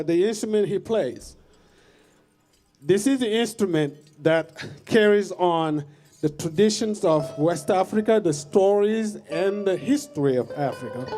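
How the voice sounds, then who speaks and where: A man from southern Senegal with a Senegalese accent, introducing songs from a concert stage and explaining as a storyteller, sometimes pausing to find words. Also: on the high side, dark tone. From Casamance, southern Senegal. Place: live lakefront concert stage. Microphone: stage microphone.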